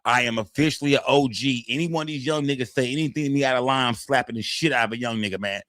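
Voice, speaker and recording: Guy in his 40s running a live stream, talks fast and loose, keeps saying man and fam, dry close sound. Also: medium pitch, fairly bright tone, no room sound. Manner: loose, profane, repeats phrases with tag words like "man" and "fam". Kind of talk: casual live-stream chat, reading the chat aloud.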